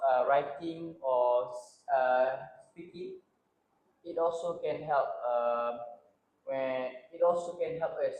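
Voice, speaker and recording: A young man with a Malaysian English accent speaks as a panelist in a student panel discussion, halting and pausing to find his words. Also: fairly high pitch, darkish tone, slight background noise. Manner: hesitant, halting, many filler sounds. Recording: roomy echo.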